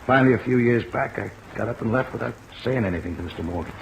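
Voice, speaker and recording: An actor playing a rough, remorseful twenty-seven-year-old drifter in an old radio drama, speaking in a halting, plain-spoken way. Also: male voice, medium pitch, lightly acted. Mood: wistful, regretful. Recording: noisy old radio recording, rain overlaid.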